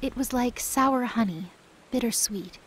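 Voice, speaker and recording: A woman's voice: a little high, measured pace, a little hiss or hum, poor audio. A woman reading scripted story narration as the narrator of a mythology audio drama. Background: music.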